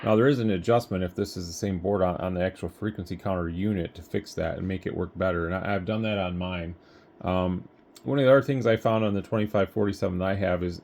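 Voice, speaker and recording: A man's voice: low voice, creaky, darkish tone, slight background noise. A man from the United States giving a casual, rambling hands-on product demonstration as an equipment reviewer, recorded at home on a phone camera. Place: home room with radio test equipment.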